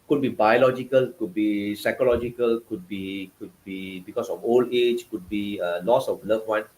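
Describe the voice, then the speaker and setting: A man's voice: on the low side, fairly even intonation, moderate pace, a little mellow, a little hiss or hum, poor audio. A man with a Malaysian accent, a guest author giving passionate, emphatic interview answers over a video call. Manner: passionate, emphatic, repetitive phrasing.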